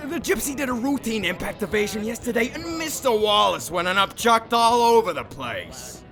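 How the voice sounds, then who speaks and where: A man's voice: high-pitched, sing-song, moderate pace, fairly bright tone, heavily acted. A man acting as a folksy, wisecracking space-western barkeep with a drawling, theatrical delivery. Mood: wry, gossipy. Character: folksy, wisecracking male space-saloon barkeep, showy salesman. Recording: music under the voice.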